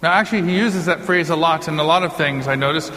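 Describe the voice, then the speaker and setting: Guy priest doing a chatty sermon, kinda high voice, big echoey church, little jokes thrown in. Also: fast talker, sharp tone, slight background noise. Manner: conversational, joking asides. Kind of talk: informal sermon. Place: large church or hall, live before a congregation. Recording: reverberant hall, long echo.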